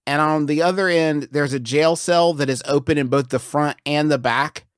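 A man co-hosting a comedy advice podcast, improvising banter in a deliberate, mock-serious manner. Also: on the high side, clean tone, no room sound, clean studio sound.

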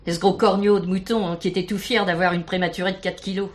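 Radio drama: actress as knowing, insinuating female witness, colloquial and indignant, slightly deep voice. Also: level tone, fast talker, natural voice. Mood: scornful, knowing. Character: plain-spoken working-class woman, gossipy, indignant witness. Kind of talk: French radio play acting.

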